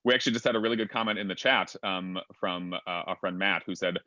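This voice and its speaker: Quick, low-voiced male moderator, muffled dry audio. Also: pushed and bright, no room sound. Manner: quick, reads out chat comments. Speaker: panel moderator. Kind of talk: casual panel discussion.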